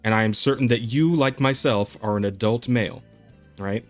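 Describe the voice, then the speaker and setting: A man's voice: lowish voice, normal speed, faint music behind. A young man hosting a casual podcast over a voice call, rambling and stumbling over repeated words, often breaking into laughter. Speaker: podcast host. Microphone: Discord call.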